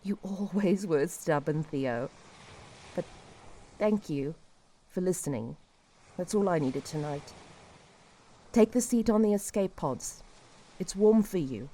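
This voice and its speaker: A woman playing a despairing, resigned helpline caller in an audio drama, speaking wearily and tenderly in halting short phrases. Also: on the low side, expressive, dark tone, slight background noise. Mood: weary farewell.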